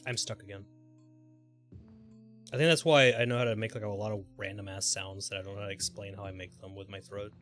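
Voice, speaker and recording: A man's voice: fairly low pitch, fairly even intonation, fairly quick, fairly bright tone, soft background music. A man livestreaming a video game with casual, playful commentary and offhand swearing. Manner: playful, profane, goofy vocal asides. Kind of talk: casual live gameplay commentary.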